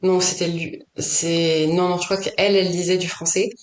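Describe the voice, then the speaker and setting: Woman guest, lowish voice, searching for words with many restarts; roomy echo. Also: little pitch movement, moderate pace. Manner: thinks aloud, hesitant, many restarts and fillers. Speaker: poet and author, podcast guest. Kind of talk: casual conversation.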